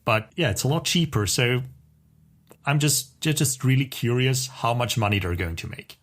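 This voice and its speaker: A man's voice: mid-range voice, normal speed, fairly bright tone. A man co-hosting a podcast in casual conversation, with an opinionated, wry way of talking. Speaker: podcast co-host.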